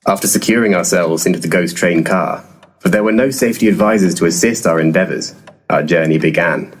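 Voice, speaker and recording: Guy playing a pompous ghost hunter in an audio comedy, really low voice, wordy and grand but kinda crude. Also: fairly quick, slight background noise, close to an everyday voice. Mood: grandly narrating. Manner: grandiloquent, verbose. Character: pompous male paranormal investigator, florid and crude. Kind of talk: comedic audio drama acting and narration.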